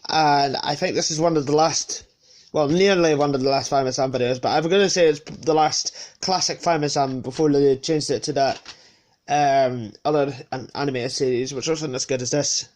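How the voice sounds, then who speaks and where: A man's voice: a little high, normal speed, darkish tone. A man with a sniffly cold casually presenting his collection unscripted, hesitating and correcting himself as he goes. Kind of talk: casual unscripted show-and-tell.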